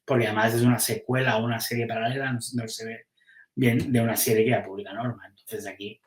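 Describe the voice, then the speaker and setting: A man's voice: medium pitch, level tone, fast talker, echoey room, low-quality recording. A man, a comics publisher, chatting casually on a podcast and thinking aloud as he weighs which titles to publish, with frequent restarts.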